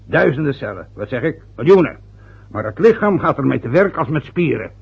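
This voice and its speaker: A man's voice: mid-range voice, sing-song, brisk, vocal fry, breathy edge, full character voice. An actor playing an aging, bitter father who grows short of breath, in a radio play. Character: aging working-class father, bitter and ill. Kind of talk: radio drama acting. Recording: muffled old radio play recording.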